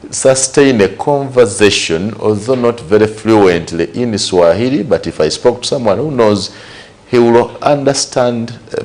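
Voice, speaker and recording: A man's voice: on the low side, darkish tone. A man from Uganda with a Ugandan English accent, giving conversational commentary on current affairs and asking rhetorical questions. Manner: conversational, repeats and lists points, rhetorical questions. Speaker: news show host.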